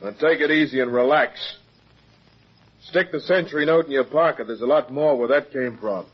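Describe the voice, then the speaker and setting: A man's voice: mid-range voice, normal speed, breathy edge, natural voice. An actor playing a coolly menacing cab driver henchman in a 1950s radio crime drama. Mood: coolly threatening. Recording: muffled vintage radio recording.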